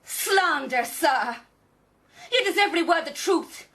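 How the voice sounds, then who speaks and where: An actress playing a proud, defiant aristocratic wife in a radio drama, formal and haughty. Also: female voice, very high-pitched, lively intonation, slow pace, a bit bright, exaggerated voice. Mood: bitter, angry.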